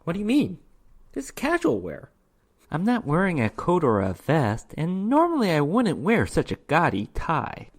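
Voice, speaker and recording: Narrator doing a stoic gentleman demon hunter, dry n wry, pitch moves around a lot. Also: wildly swooping pitch, normal speed. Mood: proud, wry. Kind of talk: dramatic short story reading.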